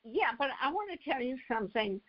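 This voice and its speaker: An older woman from the United States speaking as an interview guest, pausing often mid-phrase as she recollects and explains. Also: high voice, big pitch swings, a crowd in the background. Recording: slightly dull, lo-fi audio.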